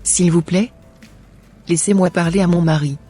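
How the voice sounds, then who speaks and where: A troubled, submissive wife played by a man in a homemade cartoon. The voice sits fairly high for a man and sounds husky, earnest and pleading. Soft music plays underneath. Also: fairly even intonation, moderate pace.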